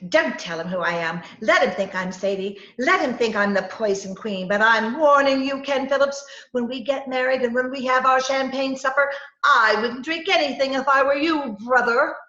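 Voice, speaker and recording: A woman playing an excitable, headstrong young reporter in an old-time radio comedy-mystery, with theatrical, breathless exclamations. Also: high-pitched, moderate pace. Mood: defiant. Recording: echoey, muffled home video-call recording.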